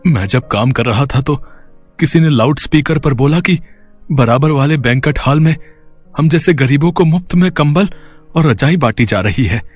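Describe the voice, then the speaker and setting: A man's voice: fairly high pitch, moderate pace, dry recording, soft background music, lightly acted. Man playing an earnest poor cobbler. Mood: regretful. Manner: plain, earnest explaining. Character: poor, caring cobbler, husband and father.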